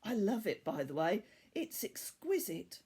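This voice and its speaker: A woman reading the part of a sympathetic, curious schoolgirl in a storybook, with a gentle, questioning manner. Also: medium pitch, very animated, measured pace, slightly rough, full character voice. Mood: touched, grateful.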